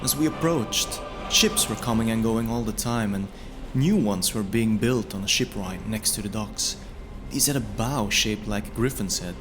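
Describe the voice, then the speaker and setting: A man reading scripted first-person fantasy narration in a measured, solemn storytelling manner. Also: lowish voice. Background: music.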